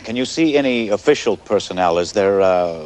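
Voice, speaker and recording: An actor playing a measured, hesitant male news anchor covering a crisis live in a 1980s TV drama. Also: fairly low pitch, animated, crowd noise, close to an everyday voice. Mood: composed. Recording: hissy 1980s TV broadcast audio.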